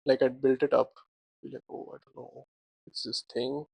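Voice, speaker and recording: A man's voice: medium pitch, moderate pace, creaky, slightly rough, no room sound, rough recording. Chatty young man, lots of "like" and "right?". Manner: animated, laughs often, many fillers like "like" and "right?". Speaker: podcast co-host. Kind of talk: casual chat.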